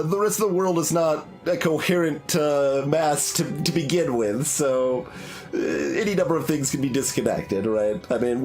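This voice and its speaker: A man's voice: on the high side, a little mellow. A man giving casual, analytical game commentary, hesitating and correcting himself as he thinks aloud. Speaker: streamer playing through a game.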